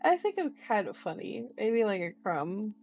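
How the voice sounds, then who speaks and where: A woman's voice: medium pitch, sing-song, normal speed, creaky, darkish tone, faint music behind. A woman streamer doing an ASMR read-aloud of a book, breaking off for casual, playful, silly chat. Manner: playful, silly asides and baby-talk.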